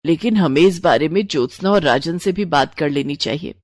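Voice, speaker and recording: Caring older mother-in-law, acted by a woman, low-set. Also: fairly quick, no echo, clean studio sound. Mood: concerned. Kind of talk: animated moral story dialogue.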